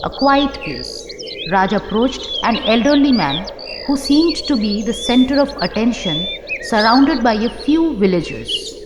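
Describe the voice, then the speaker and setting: Female narrator, highish voice, scripted horror storytelling, long reverb, music behind. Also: lively intonation, measured pace, background noise. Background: music and birdsong.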